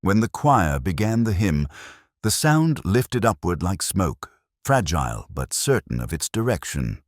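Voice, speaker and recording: A man playing a world-weary, cerebral police detective, narrating a radio drama in the first person in a measured, melancholic, dryly ironic manner. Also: deep voice, sing-song, dry recording, studio quality, exaggerated voice. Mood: melancholic, reflective.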